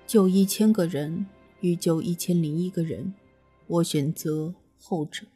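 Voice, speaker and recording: A woman voicing a calm, composed young male immortal with a husky voice, in an audio drama. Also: lowish voice, a little slow, faint music behind. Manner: level, composed.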